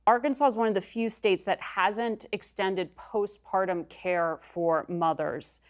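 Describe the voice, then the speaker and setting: A woman's voice: mid-range voice, unhurried. A woman journalist putting questions in an interview.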